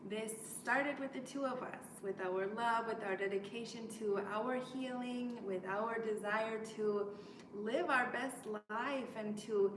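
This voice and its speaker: A woman co-hosts a welcome talk in a gentle, flowing voice, carrying each thought into the next. She is picked up from afar in a reverberant room, with noticeable background noise beneath her voice. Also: on the high side, unhurried. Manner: gentle, flowing, laughs easily. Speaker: co-host. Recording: echoey room, mic at a distance, noisy.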